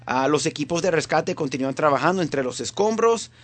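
A man with a Venezuelan accent hosting a live morning radio show, talking in a somewhat halting way. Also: fairly high pitch, animated. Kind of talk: live morning show talk with news report. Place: radio studio.

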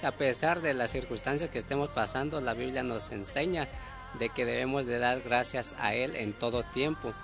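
Gravelly Mexican-born radio host man. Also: medium pitch. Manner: repetitive, devotional. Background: music.

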